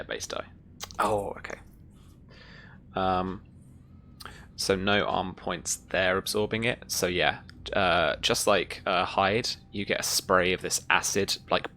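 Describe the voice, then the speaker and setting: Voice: low-pitched, steady tone, measured pace, bright, forceful tone. A game master running a tabletop roleplaying game, informal in manner, narrating the action.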